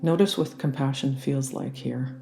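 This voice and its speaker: A meditation guide leading a guided relaxation in a gentle, soothing and unhurried manner. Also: mid-range voice, fairly even intonation, vocal fry, soft background music. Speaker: yoga nidra meditation guide.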